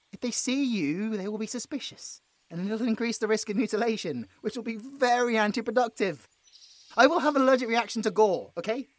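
A man's voice: high voice, no echo. A British man playing a nervous, squeamish young man who pleads and stammers his way through a roleplay audio drama. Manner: stammering, flustered, exasperated.

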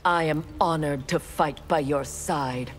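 A woman's voice: deep voice, unhurried, faint music behind. A woman voicing a proud, ruthless young female ninja warrior in a video game, speaking in a cold, haughty, clipped way.

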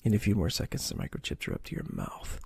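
A man reading an adventure gamebook aloud in a soft, breathy ASMR whisper, stopping to choose pages. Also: lowish voice, wildly swooping pitch, quick pace, no room sound. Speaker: reader of a choose-your-own-adventure book.

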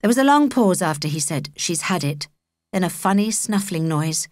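A woman with a British accent narrating a children's audiobook in an expressive, measured storytelling manner. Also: on the low side, no room sound, studio quality. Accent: British English.

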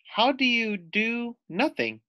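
A man's voice: very high voice, very animated, slow pace, bright, forceful tone, highs cut off, pitched above the performer's own voice, heavily acted. A man voicing a little young female baboon in a storybook, eager and curious.

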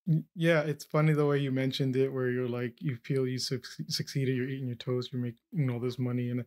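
A man from Peru hosting a podcast interview, reflecting casually with halting restarts. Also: mid-range voice, flat delivery, a little mellow. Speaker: podcast host. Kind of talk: conversational interview.

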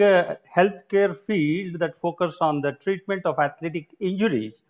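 A man in his sixties with an Indian English accent, giving halting keynote remarks full of filler sounds from a room at home. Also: fairly high pitch. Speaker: conference advisor and retired sports coach. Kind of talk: keynote remarks at an online conference. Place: home room with shelves of trophies. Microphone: laptop or earphone mic on a video call. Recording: muffled video call audio.

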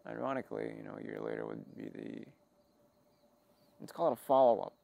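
A man giving a rambling, wry personal monologue, halting and often interrupting himself as he talks. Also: rumbling low, huge pitch swings, creaky, mellow, a little hiss or hum. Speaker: creator of an audio log channel.

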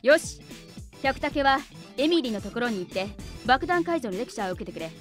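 A woman's voice: on the high side, big pitch swings, fairly quick, faint music behind. A woman playing a stern young female leader, formal and commanding, in an anime audio drama. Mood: resolute.

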